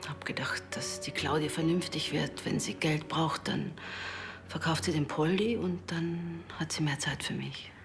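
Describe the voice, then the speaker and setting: A woman playing a guarded suspect under questioning in a TV drama, halting and defensive. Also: low voice, fairly even intonation, vocal fry, soft background music. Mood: sheepish.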